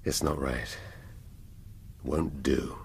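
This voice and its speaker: An actor with an English accent playing a brooding middle-aged police detective in a radio drama, musing in clipped half-sentences. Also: male voice, very deep voice, steady tone, extremely slow, creaky, a little hiss or hum, exaggerated voice. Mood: musing, muttering.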